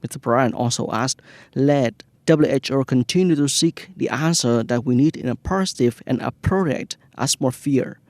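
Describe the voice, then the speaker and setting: A man's voice: mid-range voice, normal speed, darkish tone, dry recording. A man with a Vietnamese accent, a native Vietnamese speaker, presenting scripted news in English.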